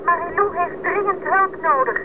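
A woman's voice: very high-pitched, moderate pace. A woman playing an urgent radio operator at a jungle post in a radio drama, clipped, over a two-way radio. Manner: urgent, clipped call-sign delivery. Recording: very noisy, tinny two-way radio sound.

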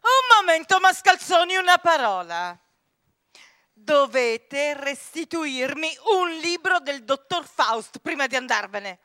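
A woman playing a bossy, sharp-tongued lady in a comic theatrical radio drama, commanding and deliberate. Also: sits high, very animated, full character voice. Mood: stern, commanding.

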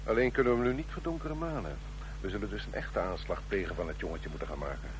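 An actor playing a cool, manipulative secret agent in a radio drama, deliberate, insinuating and coaxing. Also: male voice, deep voice, animated. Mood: cool, businesslike. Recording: noisy, humming old radio recording.

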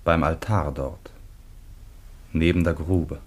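Deep, grieving, devoted son. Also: male voice, steady tone, takes it slowly, exaggerated voice. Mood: grave, evasive. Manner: grave, hushed, theatrical. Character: Seth, grieving devoted son, gentle and grave. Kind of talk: classic German radio play, theatrical.

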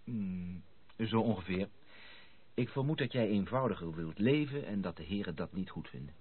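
A courteous, sympathetic gentleman confidant in a radio play. His voice is very low and rough-edged, earnest in manner, heard through thin, band-limited old sound. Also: expressive, moderate pace, narrow, tinny audio. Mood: earnest, tactful. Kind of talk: radio drama acting.

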